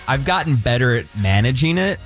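A man's voice: medium pitch, very animated, fairly quick, faint music behind. A man in his thirties with a commanding voice, chatting candidly as a radio host, filling his talk with "like". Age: 30s. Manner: candid, reflective, many "like"s. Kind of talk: casual radio chat.

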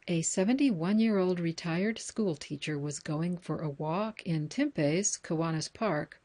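An American woman reading news articles and book excerpts aloud as a reader, in a measured, plain announcer-like way. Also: lowish voice, a little mellow.

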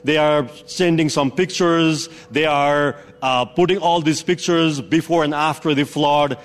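Reporter guy on a live hookup, kinda high voice, earnest, keeps restarting sentences. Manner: earnest, careful account with frequent restarts.

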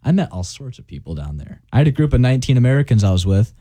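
A man's voice: medium pitch, very animated, racing, warm tone, breathy edge, no echo. A young man in his twenties, a college student and guest, chatting casually and rambling on with frequent laughs. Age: 20s. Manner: easygoing, rambling, laughs often, keeps saying "I'll tell you what". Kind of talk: casual on-air chat.

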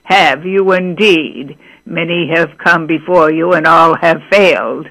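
A woman voicing a stern, threatening old king in a storybook reading, slow and commanding. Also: low-pitched, a bit bright, voice pushed down, exaggerated voice, rough recording.

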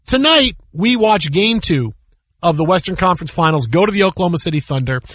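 A man's voice: sits high, animated, a little fast, a bit bright, no room sound. A man hosting sports talk radio, giving casual, opinionated commentary in an emphatic way with repetition and rhetorical questions. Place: radio studio.

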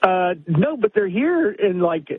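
A man's voice: high voice, sing-song, a little slow, phone-quality sound, slight background noise. An American man in his sixties calling a radio show by phone, explaining his point insistently and off the cuff. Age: 60s. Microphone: telephone.

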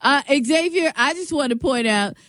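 Female American radio co-host, fairly high and very lively intonation, casual teasing banter, dry studio sound. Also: moderate pace, no room sound.

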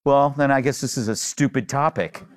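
A man's voice: mid-range voice, moderate pace. A man hosting a panel talk show, joking with guests and laughing often. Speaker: host.

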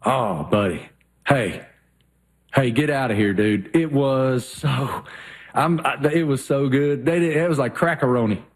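A man's voice: medium pitch, breathy edge. A man with a Southwest Virginia accent, telling rambling folksy stories as co-host in a casual chat. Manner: folksy, drawn-out storytelling.

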